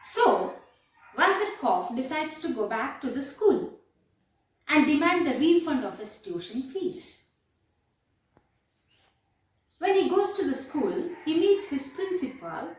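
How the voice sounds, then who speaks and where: A woman with an Indian English accent lecturing as a college professor, deliberate and emphatic, repeating phrases for her students. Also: high-pitched. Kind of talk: classroom lecture on a play. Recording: distant mic in echoey room.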